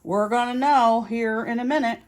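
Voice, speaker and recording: A woman's voice: on the high side, normal speed, background noise. A woman talking casually as a tarot reader, explaining things informally and thinking aloud as she goes. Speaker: tarot reader hosting a practice series. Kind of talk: casual explanatory vlog talk.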